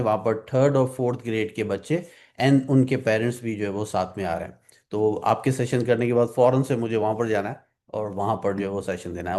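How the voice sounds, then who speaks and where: Rapid-talking man leading an online workshop. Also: mid-range voice, darkish tone. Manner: upbeat, reads out attendees with frequent wows; switches languages, many "you know" fillers. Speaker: AI trainer leading an online session. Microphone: video call.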